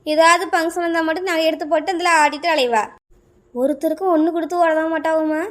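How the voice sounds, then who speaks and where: A woman's voice: very high-pitched, steady tone, quick pace. A woman playing a spiteful, gossipy village neighbour in a comic cartoon, carping in a southern Tamil Nadu accent. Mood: spiteful gossip.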